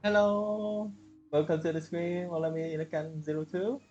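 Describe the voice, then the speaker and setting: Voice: medium pitch, fairly even intonation, moderate pace, dark tone, lo-fi sound. A game livestreamer chatting casually and a little hesitantly to viewers. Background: faint game music.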